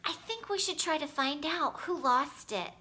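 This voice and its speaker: Woman plays storybook schoolboy: earnest, excitable, high and bright, with a conscience. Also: unhurried, exaggerated voice. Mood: hesitant, earnest. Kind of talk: children's storybook character voice.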